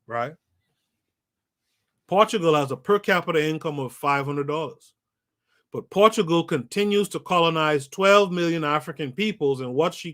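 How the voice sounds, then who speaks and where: A man's voice: fairly high pitch, fairly bright tone. A man hosting a podcast livestream, reading a book aloud and breaking off to comment, with an emphatic delivery that repeats phrases for effect and speaks to his live chat. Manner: talks to a live chat audience. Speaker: podcast host.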